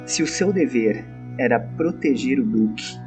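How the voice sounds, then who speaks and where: A man's voice: medium pitch, lively intonation, measured pace. A man playing a tabletop role-playing game, narrating his character's actions in a dramatic storytelling style. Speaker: role-play game player. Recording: clear music behind the voice.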